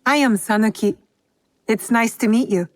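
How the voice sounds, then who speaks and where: Lady playing a reserved young woman in a game, slightly high voice, dry close sound, polite but blunt. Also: normal speed, dry recording, natural voice, poor audio. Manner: plain-spoken, polite. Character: earnest, reserved, blunt young female student of tea. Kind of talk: video game cutscene acting.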